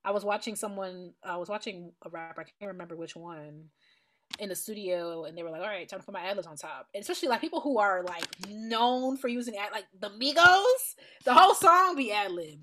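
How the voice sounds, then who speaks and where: A woman co-hosts a casual podcast about music. Her voice is bright and forceful, sitting at an ordinary pitch. She talks in a playful, animated, colloquial way, like chatting among friends. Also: brisk, slight background noise. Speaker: podcast co-host. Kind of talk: casual chat and music commentary.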